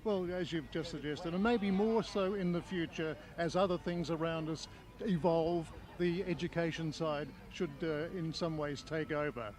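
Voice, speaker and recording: A man's voice: fairly high pitch, moderate pace, darkish tone. An Australian man working as a reporter, chatting with guests in on-location interviews outdoors at an event. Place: outdoors at a Highland games. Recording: music clearly behind the voice. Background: music and outdoor event sound.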